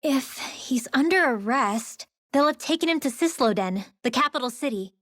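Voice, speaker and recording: Guarded young rebel girl, high voice. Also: lively intonation, normal speed, a bit bright, no echo, clean studio sound. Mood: wry, matter-of-fact. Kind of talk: dramatic video game voice acting.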